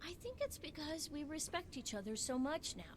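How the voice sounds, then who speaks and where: A woman's voice: high voice, very animated, brisk. A woman voicing a young boy, an earnest and devoted little knight, in a video game cutscene. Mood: wistful, earnest. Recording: noisy game stream capture.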